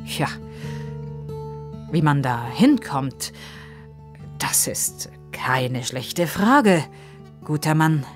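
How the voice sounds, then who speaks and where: A woman voicing a small, mysterious elderly male professor, solemn and drawn-out, sometimes whispery, in a children's story. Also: sits low, expressive, soft background music, heavily acted.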